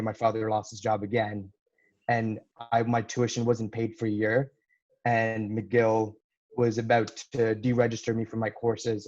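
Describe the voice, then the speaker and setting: A man's voice: fairly low pitch, darkish tone. A young man from Dubai, a university student giving an earnest thank-you speech to donors over a video call. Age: young adult. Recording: dull lo-fi video call audio.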